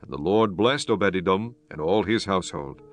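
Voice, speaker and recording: A man narrating scripture for an audiobook in a solemn, formal, measured style. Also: deep voice, lively intonation, no echo. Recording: music behind the voice.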